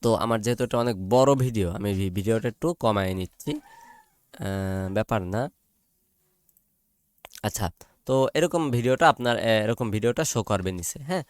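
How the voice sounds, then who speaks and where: A man with a Bangladeshi accent giving an informal step-by-step software tutorial, repeating himself and often checking in with listeners. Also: fairly low pitch, lively intonation, normal speed, pauses often, no echo. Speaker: tutorial presenter.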